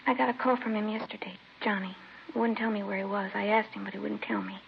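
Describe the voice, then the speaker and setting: A woman's voice: medium pitch, steady tone, fast talker, vocal fry, close to an everyday voice. An actress playing a troubled, reluctant teenage girl witness in a 1950s radio crime drama. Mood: anxious, reluctant. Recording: dull, noisy old radio recording.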